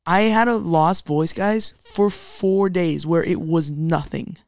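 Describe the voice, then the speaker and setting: Casting: young woman, podcast co-host, raspy recovering voice, slangy and animated, recorded close and dry. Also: takes it slowly, dry recording. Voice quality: somewhat deep voice for a woman. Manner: animated, slangy, self-deprecating. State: recovering from a lost voice. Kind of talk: casual podcast chat.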